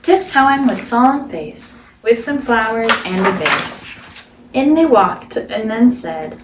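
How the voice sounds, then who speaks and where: Slow-paced woman reading a children's picture book. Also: a little high, lively intonation, echoey room, recorded from a distance, background noise. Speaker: storyteller, host of a children's read-aloud show.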